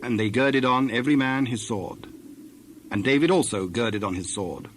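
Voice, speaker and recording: A man's voice: mid-range voice, moderate pace, a little hiss or hum. A man narrating a dramatized scripture recording from a script, in the role of storyteller.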